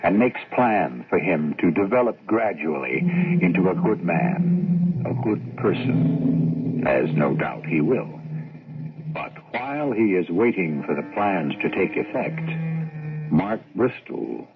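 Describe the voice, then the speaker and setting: A man narrating a radio mystery drama in a grave, measured and ominous manner. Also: sits low, big pitch swings, background noise, full character voice. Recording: muffled old radio broadcast recording.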